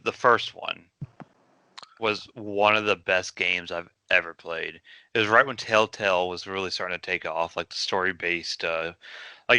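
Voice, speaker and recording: A young man with a very deep voice that still has a sharp, bright edge chats casually on a podcast. His tone is smooth and clear, recorded close in a dry room. He talks about games with matter-of-fact enthusiasm. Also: no echo. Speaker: podcast co-host. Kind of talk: casual chat.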